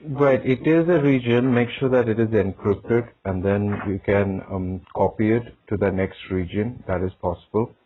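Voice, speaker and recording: A man's voice: fairly low pitch, moderate pace, few pauses. Man on a tinny, narrowband phone line. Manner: hesitant, repeats words and short confirmations. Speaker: job candidate in a technical interview. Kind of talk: spoken job interview answers over the phone. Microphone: phone call.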